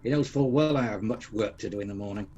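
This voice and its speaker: A man's voice: lowish voice, fast talker, warm tone, natural voice. A man acting a grumpy, sceptical old miser in a radio play, with a blustering, indignant, exclamatory delivery. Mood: irritable. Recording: dull lo-fi sound, music behind.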